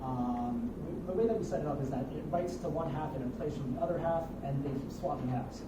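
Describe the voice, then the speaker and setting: A young man giving an informal technical demo as a student presenter, explaining in a halting, hedging way. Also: on the high side. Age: young adult. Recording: noisy roomy capture from far off.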